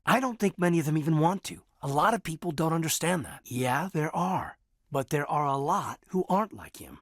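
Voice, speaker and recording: An earnest, guarded male space officer in a video game. His voice is husky and moves expressively up and down, recorded close and dry. He speaks naturalistically, with a hesitant, sincere manner. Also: medium pitch, no echo. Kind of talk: naturalistic dramatic game dialogue.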